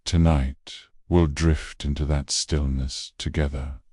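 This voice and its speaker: A man narrating a bedtime sleep meditation in a slow, hushed, gentle voice that trails off softly. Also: a bass voice, flat delivery, husky, no room sound, clean studio sound. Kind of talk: soothing scripted bedtime narration.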